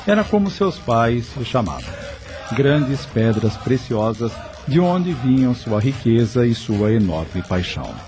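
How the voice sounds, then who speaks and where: Guy narrating a radio soap like a storybook, lively melodic delivery, soft music behind him. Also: medium pitch, measured pace. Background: background music.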